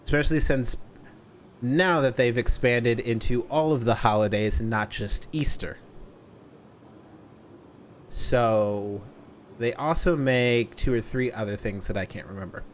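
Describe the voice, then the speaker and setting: A man telling a story casually to a livestream audience, deliberately stretching words for emphasis. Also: mid-range voice, a little hiss or hum.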